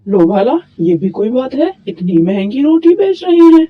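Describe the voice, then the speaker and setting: A man acts out an indignant cartoon villager, husky and very animated in pitch. He complains emphatically. The recording is dull and lo-fi, with music behind. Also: moderate pace, heavily acted. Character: indignant male villager complaining about bread prices. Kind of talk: Urdu moral cartoon story dialogue. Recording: dull lo-fi sound, music bed.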